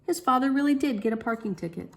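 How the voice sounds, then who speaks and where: A woman's voice: a little high, expressive, fast talker, creaky. A woman storyteller reading a children's storybook expressively.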